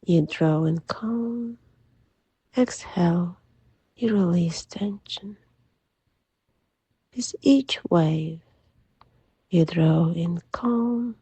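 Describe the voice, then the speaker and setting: A woman leading a guided sleep meditation in a soft, soothing, gentle voice that often drops to a breathy near-whisper. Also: on the low side, drawn-out words, long pauses, dry recording. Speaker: hypnotherapist guide.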